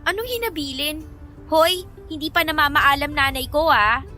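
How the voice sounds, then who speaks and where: Bright, high-voiced young Filipino woman. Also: moderate pace, faint music behind. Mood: playful protest. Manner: casual, teasing. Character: young woman milk tea shop manager, playful and feisty. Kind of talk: Tagalog radio drama acting, conversational banter.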